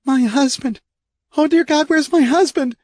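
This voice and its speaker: A man's voice: sits high, slow, dry recording, pitched above the performer's own voice. A man voicing a desperate woman crying out for her missing husband in an audiobook. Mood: frantic. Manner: desperate, pleading cries.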